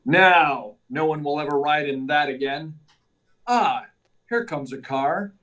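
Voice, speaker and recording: A man's voice: a little high, slow pace, fairly bright tone, recorded from a distance, lo-fi sound. A man playing a stalwart, self-assured superhero who declaims his actions aloud in 1940s radio serial style. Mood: coolly commanding. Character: confident, stalwart male superhero.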